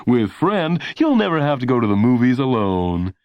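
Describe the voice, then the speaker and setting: A man voicing an over-eager male television commercial announcer in a children's audiobook, with an emphatic, drawn-out sales delivery. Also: medium pitch, sing-song, clear, resonant tone, no room sound, muffled recording, voice pushed up, close to an everyday voice. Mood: upbeat selling. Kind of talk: hard-sell television advertisement voice in a children's audiobook.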